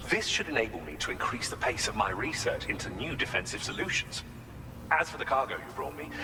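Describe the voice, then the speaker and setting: A man playing a proud, eager weapons researcher in a video game cutscene, formal with a showy flourish. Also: on the low side. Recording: long hall reverb, clear background noise.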